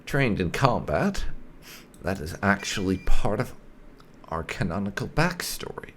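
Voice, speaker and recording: Low-pitched, very animated man. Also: unhurried, slight background noise. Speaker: gaming video host. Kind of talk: casual game playthrough commentary.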